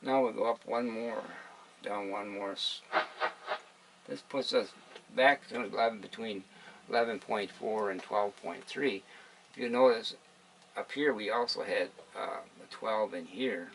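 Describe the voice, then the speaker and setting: A man with a fairly low, gravelly voice walks through an informal demonstration. He explains in a halting way as he goes, with faint hiss behind him. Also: rough recording. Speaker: presenter demonstrating a vintage radio. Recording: faint background hiss and room noise.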